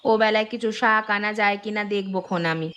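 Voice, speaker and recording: A woman voicing a stubborn but fond village mother with an offhand, grumbling manner in an animated story. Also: mid-range voice, brisk, background noise, close to an everyday voice.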